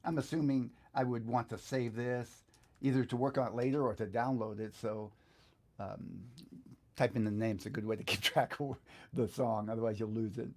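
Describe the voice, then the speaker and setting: A man's voice: medium pitch, fairly even intonation, moderate pace, a little mellow. A middle-aged man hosting an informal step-by-step lesson, thinking aloud in a conversational way as he instructs. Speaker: guitar instructor, video host. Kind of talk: informal step-by-step screen tutorial.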